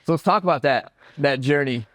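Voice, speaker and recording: A man's voice: a little high, brisk, a bit bright, no room sound. Friendly-prompting male interviewer, dry sound. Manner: encouraging, prompting with short questions. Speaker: interview host. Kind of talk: casual interview. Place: convention floor.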